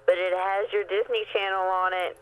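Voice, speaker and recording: A woman's voice: medium pitch, level tone, normal speed, fairly bright tone. A woman working as a customer service agent, explaining things on a telephone call. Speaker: satellite TV service phone agent. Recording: tinny narrow phone line.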